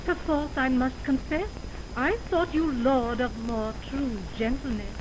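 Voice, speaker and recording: A woman's voice: sits high, unhurried. A woman playing a wounded, scorned young lady in a Shakespearean radio drama, with dignified, aggrieved theatrical delivery, on a 1920s recording. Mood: hurt, indignant. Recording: noisy 1929 optical film radio recording.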